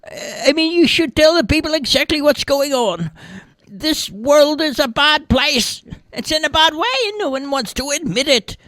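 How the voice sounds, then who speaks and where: A woman's voice: sits high, expressive, moderate pace, fairly bright tone, dry recording, voice pushed up. A woman playing a dotty, cantankerous old lady, rambling and querulous. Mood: indignant, scolding. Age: older.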